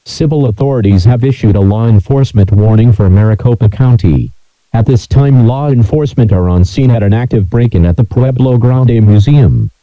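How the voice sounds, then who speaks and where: A man reading a scripted emergency broadcast announcement in a formal, mechanical monotone. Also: medium pitch, moderate pace, no room sound, lo-fi sound.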